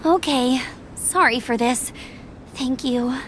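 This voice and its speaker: A woman voicing a cheerful, earnest young adventurer in a video game, chatty and expressive. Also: high-pitched, slow pace, a little hiss or hum. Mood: sheepish, woozy.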